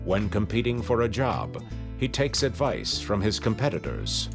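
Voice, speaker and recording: Male spoof-ad announcer, lowish voice, deadpan yet animated in pitch, plucked guitar music behind. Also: creaky. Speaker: commercial announcer. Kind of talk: mock-grand parody ad narration.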